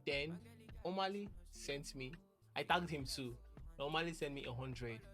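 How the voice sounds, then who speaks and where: A man's voice: on the high side, a little slow, fairly bright tone, faint music behind. A young man, talking casually and earnestly about a musician he admires, rambling and restarting his sentences. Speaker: music producer. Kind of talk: casual talk to camera.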